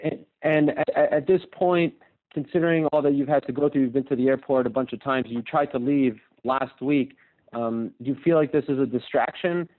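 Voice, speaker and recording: A man's voice: medium pitch, normal speed, narrow, tinny audio. A man working as a journalist, asking questions on a press conference call over the phone.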